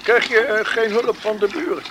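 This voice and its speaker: A man's voice: high voice, steady tone, moderate pace, close to an everyday voice. A man acting a fussy, concerned villager in a radio play, stammering and repeating words as he insists. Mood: insistent, concerned. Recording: faintly noisy radio play, outdoor sounds.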